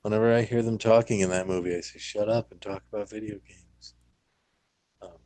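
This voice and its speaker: A man's voice: on the low side, fairly even intonation, brisk, a little mellow, dry recording. A man chatting casually as a podcast panelist, with a blunt, dry way of talking. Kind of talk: casual discussion.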